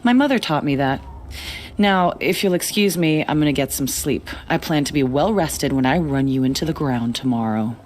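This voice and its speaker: Low-voiced, lively young huntress rival. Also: female voice, moderate pace, slight background noise. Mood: wry, competitive. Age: young adult. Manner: wry, self-assured. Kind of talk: video game voice acting.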